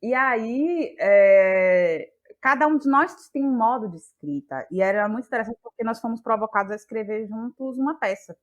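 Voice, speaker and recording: A woman with a Bahian Brazilian accent talking reflectively in an interview, feeling her way haltingly through her thoughts. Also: a little high, animated, lo-fi sound. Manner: thoughtful, halting, searching for words. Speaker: guest, playwright and actress.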